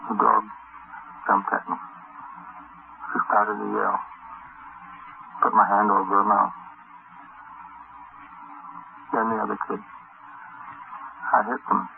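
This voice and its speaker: An actor with an American accent playing a nervous, evasive suspect in a 1950s radio crime drama, hesitant and pleading. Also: male voice, fairly low pitch, gravelly, full character voice. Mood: broken, anguished. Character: nervous, evasive male child-killer suspect, cracking under pressure. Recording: muffled, hissy old radio recording.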